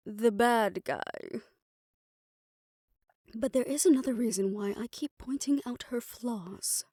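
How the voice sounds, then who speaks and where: A woman playing a stern, protective female doctor who is softly fond of her listener, speaking in a measured, formal, faintly haughty way in an audio roleplay. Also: a little high, clean studio sound. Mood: stern, defiant. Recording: roomy echo.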